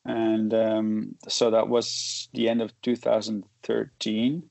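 A man in his forties, raised in Belgium, speaks in a low, gravelly voice that stays fairly flat in tone. He talks haltingly, restarting sentences and filling gaps with "uh" and "um", and chuckles now and then. The sound is dry and close, with little room echo. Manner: halting, many restarts and fillers, chuckles while talking. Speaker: guest, photojournalist. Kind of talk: casual interview conversation.

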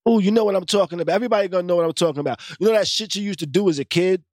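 A man's voice: rapid-fire, a little mellow, dry recording. Fields: Sex: man. Role: podcast host. Style: casual banter, laughs often. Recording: dry and close. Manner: laughs often, playful, drops into deep put-on voices for jokes. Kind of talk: casual banter with a cohost, reading live chat.

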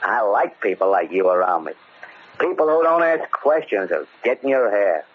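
Slangy crook, tinny old radio. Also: male voice, lowish voice, sing-song, normal speed, slight background noise. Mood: easygoing, bossy. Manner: informal, brusque, colloquial tough talk. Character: gruff, slangy crook hiding from an enemy. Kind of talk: old time radio horror acting.

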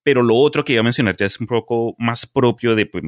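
A young man from Colombia with a Colombian Spanish accent, co-hosting a podcast in casual conversation, telling animated stories and slipping English words in. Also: mid-range voice, quick pace, no echo, studio quality. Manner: animated storyteller, mixes English words in, acts out voices. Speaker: podcast co-host.

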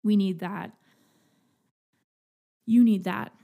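A young adult woman hosting a podcast, talking to listeners in an earnest, halting personal monologue. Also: medium pitch, steady tone, creaky. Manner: earnest, halting, soft-spoken. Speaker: podcast host.

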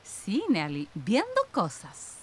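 Actress as an assertive exile, very animated intonation. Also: female voice, mid-range voice, slow pace, slight background noise. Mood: skeptical. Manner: pointed, probing, insistent. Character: Rosa, older, assertive sister back from exile, skeptical. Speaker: actor in a radio play. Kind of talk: Spanish-language radio theatre acting.

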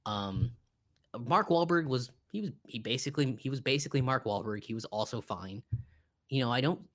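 A man with a mid-pitched voice speaks as a podcast co-host in casual conversation. He rambles and halts, restarting his thoughts and repeating phrases to drive a point home. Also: expressive. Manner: rambling, halting, repeats himself for emphasis. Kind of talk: casual film review chat.

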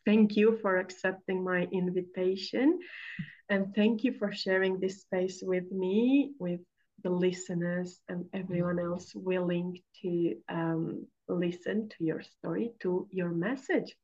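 A woman hosting a podcast, introducing her guest with effusive, drawn-out phrasing. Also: fairly low pitch, fairly even intonation, darkish tone. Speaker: podcast host. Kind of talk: warm interview introduction. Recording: dull lo-fi sound.

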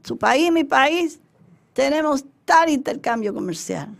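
A woman's voice: high-pitched, expressive, a little slow, vocal fry. A middle-aged or older woman with a Dominican Spanish accent, telling her story emphatically in an interview and acting out remembered exchanges. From Dominican Republic. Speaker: interview guest, former representative of her country in China.

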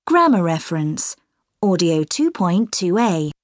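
A woman announcing audio track titles in a crisp, formal manner. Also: on the low side, big pitch swings, a little slow, dry recording, clean studio sound. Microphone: dry studio mic.

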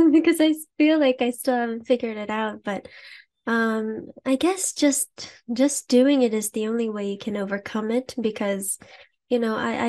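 Soft-spoken young woman with American accent. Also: a little high. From Japan. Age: young adult. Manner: soft-spoken, many fillers, laughs often. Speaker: singer guest. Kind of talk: casual interview answers.

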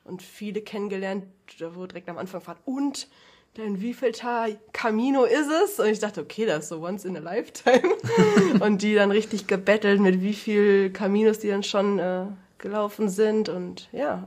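A young woman talks in a relaxed, casual way as a podcast guest. Her voice sits at a middle pitch. She laughs often and fills her sentences with little hesitations. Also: normal speed. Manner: chatty, laughs often, many fillers.

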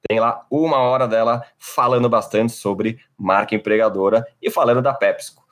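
A man's voice: medium pitch, steady tone, moderate pace, a bit bright. Brazilian male podcast host, playful and upbeat, medium voice. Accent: Brazilian Portuguese. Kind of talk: casual podcast chat.

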